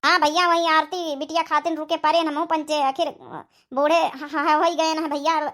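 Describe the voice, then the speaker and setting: A woman's voice: sits high, level tone, normal speed, a bit bright. A woman with an Awadhi accent voicing an ageing, impatient village mother who nags plainly in a cartoon story. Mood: nagging. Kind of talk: amateur cartoon story dialogue.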